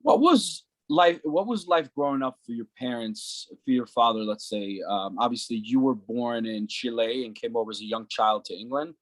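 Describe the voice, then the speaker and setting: A man's voice: medium pitch, moderate pace, hardly any pauses, dry recording, low-quality recording. Loose, chatty male interviewer. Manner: loose, filler-heavy questioning. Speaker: interviewer and host. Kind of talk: podcast interview questions.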